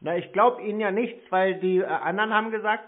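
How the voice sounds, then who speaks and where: A man's voice: high voice, quick pace. A man in a casual phone conversation over a narrow line, speaking bluntly with a naive air. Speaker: call recipient baiting phone scammers.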